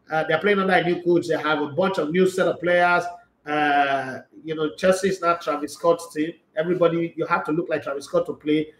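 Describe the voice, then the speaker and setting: Male sports show host in his forties, animated and rambling, slightly high voice, echoey small studio. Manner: animated, rambling, gestures a lot. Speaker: sports show host answering viewer comments. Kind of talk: casual football commentary chat. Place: small indoor studio room.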